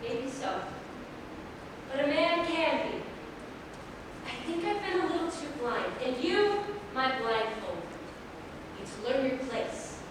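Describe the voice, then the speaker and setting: A woman acts a young stage queen with a high voice, earnest and firm as she confronts those who wronged her. She sounds distant in a reverberant hall, with clear background noise. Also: lively intonation, slow, long pauses. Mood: resolute. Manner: earnest, dramatic, declarative. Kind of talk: staged play reading. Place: large echoing room, staged reading.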